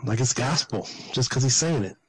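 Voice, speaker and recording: A man's voice: mid-range voice, lively intonation, moderate pace. A man co-hosting a podcast, chatting casually in a colloquial, sardonic way. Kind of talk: casual chat.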